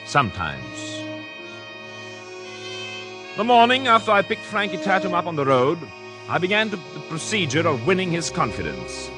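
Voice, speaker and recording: An actor in a 1940s radio drama playing an educated, scheming doctor and unhappy husband, with polite, hesitant, formal diction. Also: male voice, a little high. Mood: coolly scheming. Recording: old radio recording with music.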